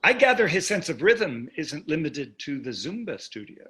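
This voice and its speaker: An actor in a staged play reading, playing a wealthy older husband who is dry, teasing and condescending. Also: male voice, fairly high pitch, sing-song, normal speed, bright, forceful tone. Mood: dryly teasing. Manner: dry, needling, condescending endearments.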